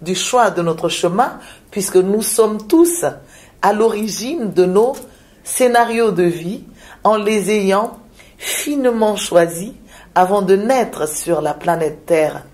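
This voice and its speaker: A woman from Madagascar, a shaman, speaking in a reflective interview with frequent pauses, hesitations and repeated words. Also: mid-range voice, lively intonation, a little mellow. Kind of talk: reflective spiritual interview talk.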